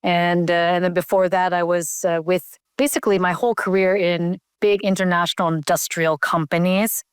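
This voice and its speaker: A woman's voice: fairly low pitch, flat delivery, moderate pace, few pauses, clear, resonant tone, dry recording, clean studio sound. A woman from Canada, a company CEO, answering interview questions over a remote call, thoughtful with many fillers and restarts.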